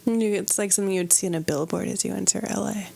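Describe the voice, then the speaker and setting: A woman's voice: medium pitch, fairly even intonation, fast talker, vocal fry, warm tone. A woman with a Midwestern American accent, a podcast guest in casual chat, answering briefly and playfully. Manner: short affirming replies, playful.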